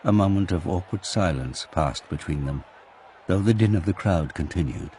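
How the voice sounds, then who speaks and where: A man's voice: deep voice, expressive, moderate pace, a little mellow, slight background noise. A man giving dramatic scripted narration for an audio drama. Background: music.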